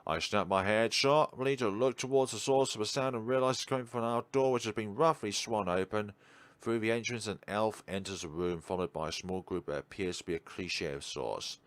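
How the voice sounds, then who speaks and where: A man's voice: lowish voice, a little fast, no echo. A man reading a visual novel aloud and commenting as a let's player, sometimes stumbling and correcting himself. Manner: stumbles over read lines and corrects himself. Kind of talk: game commentary and dramatic read-aloud narration.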